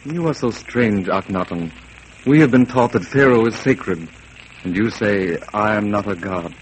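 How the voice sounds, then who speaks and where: A man's voice: lowish voice, normal speed, slight background noise, lightly acted, low-quality recording. A man playing a reverent young prince who speaks with wonder.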